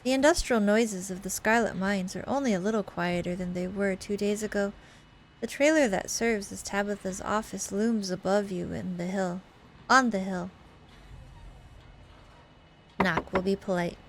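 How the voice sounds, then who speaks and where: A woman's voice: medium pitch, steady tone, normal speed, a little hiss or hum. A woman narrating a visual novel playthrough as a game streamer, reading aloud with chatty, casual commentary.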